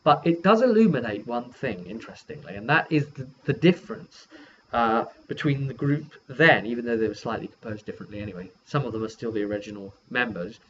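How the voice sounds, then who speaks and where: A man gives a casual, rambling game master's recap in a medium-pitched voice. The recording is slightly muffled, with faint noise behind him. He retells things in an animated way, slipping into the players' reactions. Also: a little fast. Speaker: game master recounting a session. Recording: muffled lo-fi with background hiss.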